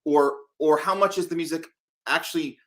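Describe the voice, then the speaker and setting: A man interviewing in a conversational way, haltingly repeating words as he works out his thoughts. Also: a little high, fairly even intonation, fairly bright tone. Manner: halting, repeats words while working out questions.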